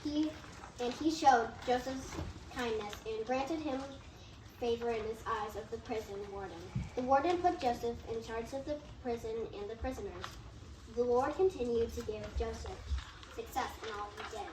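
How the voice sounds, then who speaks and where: A woman's voice: on the high side, normal speed. A woman narrating a story in amateur reader's theatre. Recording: roomy, distant, noisy.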